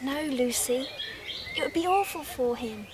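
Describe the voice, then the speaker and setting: A woman playing a sensible, protective older-sister girl in an audio drama, reasoning gently in hushed, measured tones. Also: high voice, animated. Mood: hushed, firm. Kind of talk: dramatised audio story acting. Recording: very noisy background.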